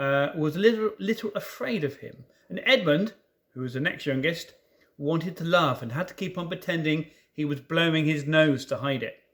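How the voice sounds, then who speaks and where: A man reading a children's story aloud in an expressive way, with informal asides to listeners. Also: fairly high pitch. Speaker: storybook reader.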